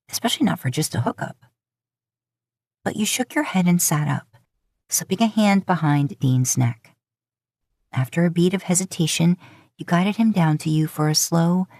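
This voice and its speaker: Low, slightly husky woman narrating romance. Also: a little mellow, no echo, studio quality. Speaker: podfic narrator. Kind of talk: scripted second-person romantic story narration.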